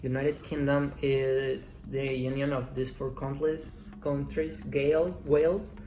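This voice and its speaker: Slow, careful man in his twenties. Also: mid-range voice, little pitch movement, mellow. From Mexico. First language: Spanish. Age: 20s. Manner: slow, careful non-native English. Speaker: interviewee. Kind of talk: student interview answers. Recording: roomy echo, faint music.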